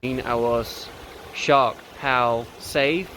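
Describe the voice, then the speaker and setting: Flat-voiced man from Poland. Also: medium pitch, slow, a little hiss or hum. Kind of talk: casual testimonial.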